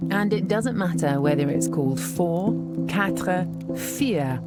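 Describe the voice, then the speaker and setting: A woman in her thirties presenting a documentary in clear, measured scripted narration. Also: on the low side, lively intonation, over loud music. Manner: clear, measured, thoughtful delivery.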